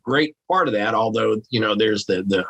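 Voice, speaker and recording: A man's voice: lowish voice, normal speed, creaky, a bit bright, slightly rough. A man talking casually and frankly as a podcast guest, with salty, informal phrasing. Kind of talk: casual conversational commentary.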